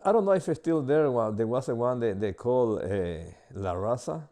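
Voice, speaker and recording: A man in his sixties from El Salvador, with a Salvadoran Spanish accent, answering interview questions in halting English full of pauses and fillers. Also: mid-range voice, animated, warm tone. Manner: halting, many uh fillers and restarts.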